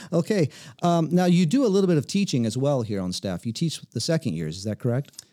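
A man hosts a casual interview around a small table in a cabin living room. His voice is very dark and mellow, and it sits in a dry, close sound. He talks in a relaxed, rambling way and often repeats his words. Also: fairly high pitch, moderate pace, dry recording. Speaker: host. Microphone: table mic.

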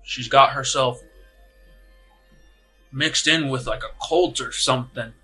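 A man voicing a sweaty, drunk working man who rambles irritably and loudly in a tabletop game. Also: mid-range voice, slow pace, slightly rough, faint music behind, full character voice. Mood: irritated, rambling. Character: sweaty, drunk, hard-laboring man, gruff and exasperated.

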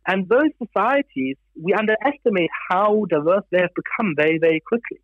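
A man with a slightly high voice explains ideas in a measured, careful way, pausing on fillers and restarting phrases. He is a guest author raised in Germany. His voice comes over a dull, muffled remote line. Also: animated, no room sound. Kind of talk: discussion on a panel.

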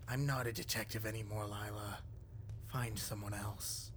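Man acting a flat, gruff retired detective. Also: fairly low pitch, normal speed, noisy background, natural voice. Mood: dismissive. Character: weary, reluctant retired male ex-detective. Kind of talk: noir audio drama acting.

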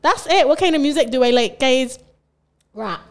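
A woman's voice: high-pitched, lively intonation, moderate pace. A young woman from Barbados with a Bajan accent, hosting a casual, playful podcast chat with a friend and rambling between giggles. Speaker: podcast host.

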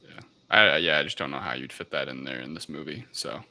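A man's voice: extremely low pitch, fast talker, bright, forceful tone. A man bantering casually as a podcast co-host.

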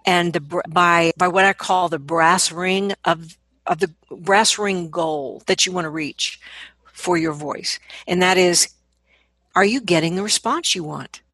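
A middle-aged woman, a vocal coach, explaining things conversationally as an interview guest, in an animated manner. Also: on the low side, a little slow, slightly rough, no echo.

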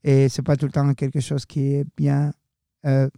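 A man's voice: mid-range voice, monotone, moderate pace, vocal fry, dark tone, clear, resonant tone, no echo. A young man hosting a student radio show, talking loosely and casually and slipping in English words. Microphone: studio microphone.

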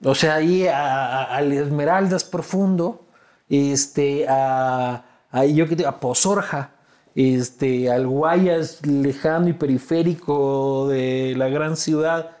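A man's voice: mid-range voice, slow pace, a little mellow. A man with an Ecuadorian accent hosting a casual, slangy interview, probing and teasing, often circling back over his words as he forms a question. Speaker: interviewer, host.

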